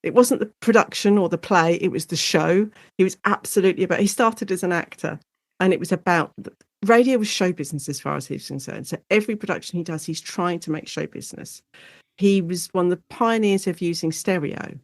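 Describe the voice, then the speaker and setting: A woman with an English accent explaining things as an expert guest in a conversational interview, in an animated, informal way. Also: fairly low pitch, fairly quick, no room sound.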